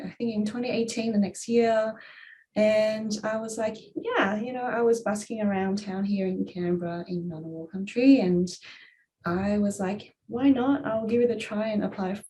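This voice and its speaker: A young woman from Taiwan, a guest musician, chatting in an interview, soft-spoken and halting with many fillers and restarts. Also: mid-range voice, fairly even intonation. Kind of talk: casual interview chat.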